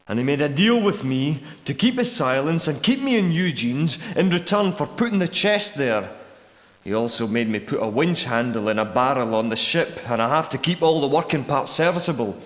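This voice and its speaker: An actor playing a weary, haunted war veteran trapped in caverns, speaking in a confiding, dramatic way as video game dialogue. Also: male voice, mid-range voice, lightly acted. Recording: echoey reverberant cavern-like sound.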